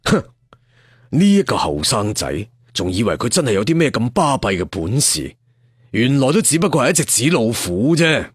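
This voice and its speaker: A man's voice: mid-range voice, big pitch swings, normal speed, breathy edge, no room sound, clean studio sound. A man voicing an arrogant, scornful martial-arts villain lord in a story, sneering with contempt. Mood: smug musing.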